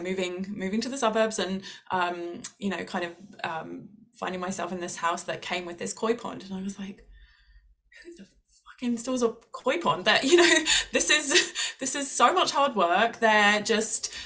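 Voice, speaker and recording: A woman's voice: medium pitch, a bit bright. A woman writer, talking casually and reflectively about her own work. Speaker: short story writer. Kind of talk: casual talk about own writing process.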